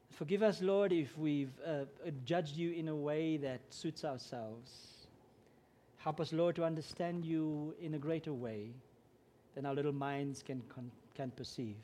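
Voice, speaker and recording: A man's voice: fairly high pitch, slight background noise. Afrikaans-man preaching. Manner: earnest, grows louder and more emphatic when preaching. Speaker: guest preacher. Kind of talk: sermon.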